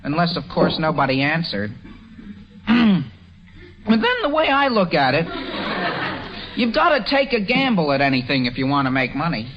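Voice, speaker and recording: An eager, high-voiced teenage boy. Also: sing-song, moderate pace, pauses often, noisy background. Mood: hopeful. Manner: eager questioning, stammering starts. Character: eager, gullible teenage boy, polite and chatty. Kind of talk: 1930s-40s radio comedy acting. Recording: dull, muffled old radio recording.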